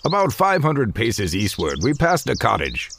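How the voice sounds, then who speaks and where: An actor playing a gruff, skeptical male adventurer, wry and dismissive, in a comedic fantasy audio drama. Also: medium pitch, wildly swooping pitch, normal speed, noisy background. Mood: matter-of-fact.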